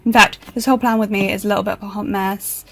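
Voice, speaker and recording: A woman with a croaky voice, chatting casually over her planner video with a self-deprecating, rambling manner. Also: medium pitch, steady tone, quick pace, a bit bright. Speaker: planner vlogger.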